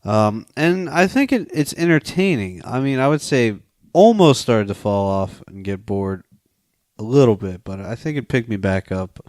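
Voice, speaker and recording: A man's voice: medium pitch, expressive, normal speed, a little mellow, dry recording, studio quality. A man co-hosting a film podcast, talking casually with slangy, profane wording.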